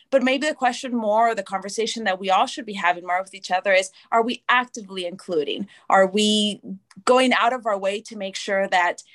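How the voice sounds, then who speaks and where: A woman's voice: mid-range voice, fairly bright tone. Canadian woman, thoughtful panel guest. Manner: thoughtful, restarts words, light laughs. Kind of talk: casual panel discussion.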